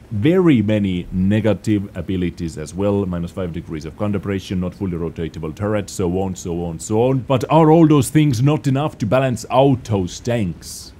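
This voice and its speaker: A man whose first language is not English, hosting an emphatic gameplay commentary voice-over and swinging up and down in pitch and loudness. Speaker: gaming video host.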